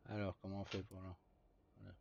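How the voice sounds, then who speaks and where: A man's voice: sits low, monotone, fairly quick, gravelly, a little mellow. A man streaming a game, thinking aloud in casual, offhand mutters to himself, on dull and noisy audio. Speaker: live streamer playing a game.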